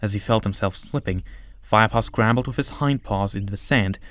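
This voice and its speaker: A man's voice: on the low side, brisk, a bit bright. A man narrating an audiobook story from a script.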